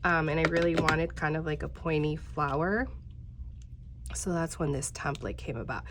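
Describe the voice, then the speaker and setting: A woman's voice: sits low, moderate pace, noisy background. Chatty, stuffy-nosed woman crafter. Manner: chatty, talks straight to viewers, many fillers. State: congested, slightly nasal. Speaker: crafting tutorial host. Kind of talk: casual step-by-step craft tutorial.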